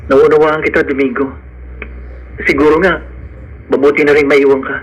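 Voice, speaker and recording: Earnest young lover, higher-pitched. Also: male voice, level tone, moderate pace, breathy edge, muffled recording. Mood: hopeful, determined. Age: young adult. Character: hopeful, determined poor young man in love. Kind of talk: radio drama acting.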